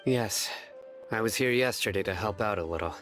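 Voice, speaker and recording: A man playing a stoic, stern enforcer in a video game, with a terse, deadpan delivery. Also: on the low side, moderate pace, soft background music, lightly acted. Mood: matter-of-fact.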